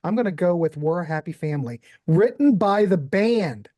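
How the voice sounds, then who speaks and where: A man's voice: high voice, lively intonation, normal speed, warm tone, no room sound. An upbeat man hosts a loose music talk show and keeps the conversation moving. The recording is dry and close with no room sound. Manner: upbeat, chatty, hands off to guests. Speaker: host. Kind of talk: casual podcast hosting.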